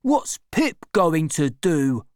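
A big, sulky young workman who is always grumbling, as a storybook reader puts him on. He speaks in a raised, slow, complaining way, and the recording is dry. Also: mid-range voice, very animated, a little mellow, no echo, voice pushed up. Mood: surly. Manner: surly and complaining.

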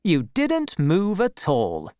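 A reader voicing a bold, charming, deceitful soldier, a man with an assured and deliberate manner. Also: medium pitch, huge pitch swings, mellow, clear, resonant tone, no echo, studio quality, voice pushed up. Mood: confident, teasing.

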